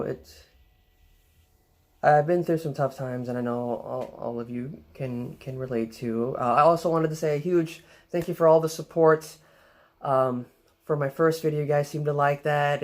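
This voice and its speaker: A young man with a soft voice, vlogging in a casual, rambling way, full of fillers and restarts. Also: mid-range voice. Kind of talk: casual rambling vlog talk.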